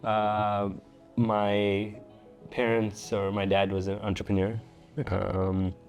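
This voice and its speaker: Relaxed guy from tanzania chatting in an interview, low mellow voice, lots of ums. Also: slow pace, faint music behind. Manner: relaxed, many fillers and restarts. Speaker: founder and guest. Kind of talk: casual interview talk.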